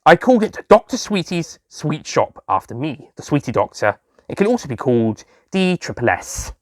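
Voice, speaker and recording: Man acting an animated, chatty young Time Lord storyteller. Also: a little high, normal speed, dry recording. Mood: cheerful. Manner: chatty, self-amused asides, giggly. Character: young excitable alien Time Lord, sweet-obsessed shopkeeper, jolly storyteller. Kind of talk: first-person audio story narration in character.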